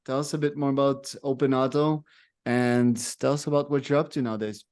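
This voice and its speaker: A man's voice: mid-range voice, fairly even intonation, brisk, a little mellow, clean tone. A man hosting a podcast interview, talking in a loose, rambling way with fillers. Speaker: podcast host. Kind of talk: casual interview talk.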